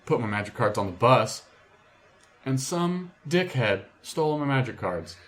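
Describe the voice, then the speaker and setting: A man's voice: mid-range voice, sing-song, unhurried. Man, podcast co-host, casual banter, wry, informal, sweary storyteller. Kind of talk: casual banter among friends.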